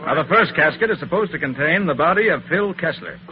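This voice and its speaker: An actor playing a shrewd, commanding master detective in an assured 1940s radio drama style. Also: male voice, on the high side, lively intonation, quick pace, lightly acted. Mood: assured, explanatory. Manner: assured, explanatory, authoritative. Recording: muffled, noisy 1940s radio recording.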